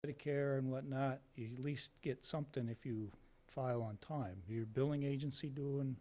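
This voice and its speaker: A man's voice: mid-range voice, steady tone, normal speed, mellow. Direct, questioning man at a board meeting. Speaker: board member. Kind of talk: public meeting discussion. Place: town meeting room.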